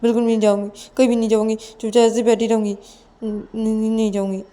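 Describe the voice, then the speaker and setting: महिला की आवाज़ में ऊँचे सुर में गिड़गिड़ाती रुआँसी युवती. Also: female voice, fairly high pitch, fairly even intonation, moderate pace, warm tone, pitched above the performer's own voice, natural voice. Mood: whiny, protesting. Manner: whiny, repetitive begging. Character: tearful, pleading young woman, childishly insistent and apologetic.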